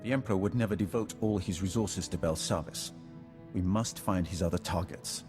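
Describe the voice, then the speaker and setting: A serious game warrior a man acting with a deep, low voice and terse delivery. Long reverb and clear music surround it. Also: brisk, natural voice. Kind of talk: video game dialogue.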